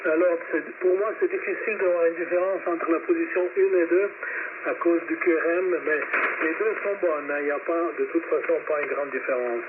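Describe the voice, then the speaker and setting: High-voiced man hosting over noisy shortwave radio. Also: fairly even intonation, brisk, phone-quality sound. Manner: courteous, welcoming. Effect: radio transmission. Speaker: amateur radio net host. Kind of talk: casual ham radio contact, calling on stations.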